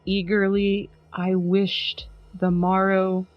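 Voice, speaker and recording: A chatty woman hosts a podcast, upbeat and quick to laugh. Her voice sits at a middle pitch. The sound is dry and a little dull, like a lo-fi home setup. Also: monotone, no echo, soft background music. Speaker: podcast host. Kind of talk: casual podcast chat and dramatic poem reading.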